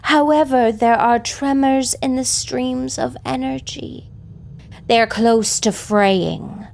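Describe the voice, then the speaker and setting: A grave, defiant otherworldly woman, acted by a woman, with a gravelly creak in her voice. Her delivery is slow, formal and dramatic, suited to a fantasy audio drama. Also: high voice, darkish tone, a little hiss or hum, heavily acted. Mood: grave, urgent.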